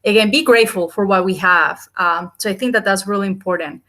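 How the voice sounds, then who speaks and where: A woman's voice: medium pitch, brisk, sharp tone. A woman from Colombia with a Colombian Spanish accent, hosting a group video call in an eager, rambling way with many fillers. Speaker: chapter host. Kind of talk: casual video call chat.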